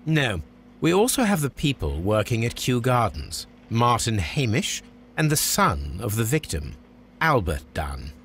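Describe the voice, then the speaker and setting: An actor playing a confident, probing Victorian English gentleman detective in a video game, speaking precisely and formally. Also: medium pitch, huge pitch swings, unhurried, a little hiss or hum, full character voice. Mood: self-assured. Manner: precise, formal, self-assured. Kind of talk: video game cutscene acting.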